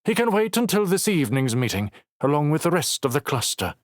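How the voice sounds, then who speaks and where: A man's voice: on the high side, big pitch swings, a little fast, dry recording, studio quality, pitched above the performer's own voice. A man voicing a haughty, sneering councilwoman who speaks in a clipped, disdainful way.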